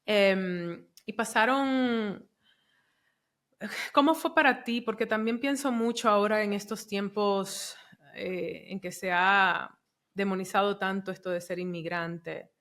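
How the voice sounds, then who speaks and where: A woman who grew up in the Dominican Republic, hosting a podcast and speaking reflectively in casual conversation with soft murmured backchannels. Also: medium pitch, slow pace. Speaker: podcast host.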